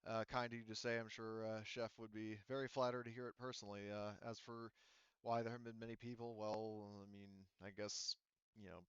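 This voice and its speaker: A man's voice: on the low side, flat delivery, a little fast, a bit bright, smooth, dry recording, highs cut off, close to an everyday voice. A man voicing a polite, hesitant waitress in a tabletop roleplaying game. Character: polite waitress at a colony bar.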